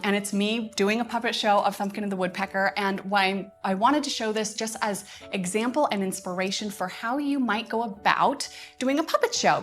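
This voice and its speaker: A woman with a mid-pitched voice hosts a casual livestream update. She sounds chatty and enthusiastic as she shares announcements, with music faintly behind her. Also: fairly bright tone. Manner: chatty, enthusiastic, often chuckling. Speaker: host of a curriculum update livestream.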